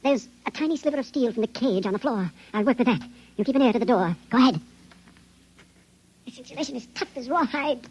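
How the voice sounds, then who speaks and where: A man's voice: very high-pitched, animated, quick pace. A man playing an anxious five-inch-tall shrunken man in a 1950s radio drama, urgent and clipped, his voice artificially raised to sound miniature. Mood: urgent, frightened. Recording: dull, noisy 1950s radio recording.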